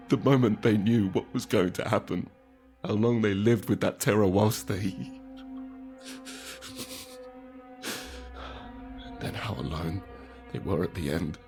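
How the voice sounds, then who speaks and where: Slow, grief-stricken male survivor. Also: on the low side, a little mellow. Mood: guilt, grief. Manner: slow, halting, intense. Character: grief-stricken guilt-ridden male survivor, narrating his ordeal. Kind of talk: dramatic first-person narration and dialogue, audio drama.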